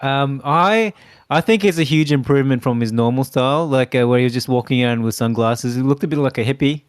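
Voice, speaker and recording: Male guest, easygoing and a touch hesitant, smooth voice, dry close sound. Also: medium pitch, a little fast, no room sound. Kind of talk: casual chat.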